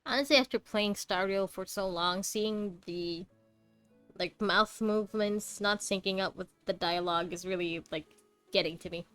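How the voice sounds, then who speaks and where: Female game streamer, mid-pitched, chatty and wry, reacting live with soft music behind her. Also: moderate pace, clear, resonant tone. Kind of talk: casual live playthrough commentary. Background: faint game music.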